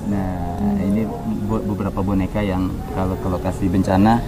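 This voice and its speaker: Male children's storyteller, lowish with plenty of fry, earnest casual delivery. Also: fairly even intonation, fairly quick. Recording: music bed behind the talk. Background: music.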